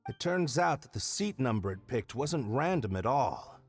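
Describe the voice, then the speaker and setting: A man's voice: medium pitch, sing-song, moderate pace. A man voicing a mildly scoffing member of an investigation team in a video game. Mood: explanatory. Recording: faint music behind the voice.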